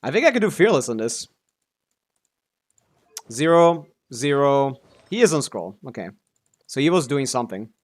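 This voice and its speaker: A man's voice: medium pitch, sing-song, moderate pace, pauses often. A man giving casual live game stream commentary, thinking aloud as he talks through his options.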